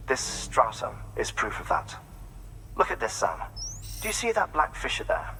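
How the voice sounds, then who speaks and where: An actor playing an earnest, scholarly scientist in a video game, explaining his findings in a measured, articulate, didactic manner. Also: medium pitch, pushed and bright, slight background noise, rough recording. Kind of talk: video game cutscene exposition.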